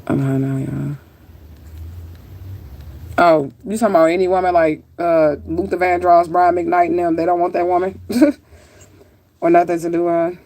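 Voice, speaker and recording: A woman's voice: fairly low pitch, fairly even intonation, moderate pace, long pauses, warm tone. A woman chatting casually on a livestream and answering viewers in a rambling, laid-back, sometimes profane way. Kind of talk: casual live chat, answering viewer comments.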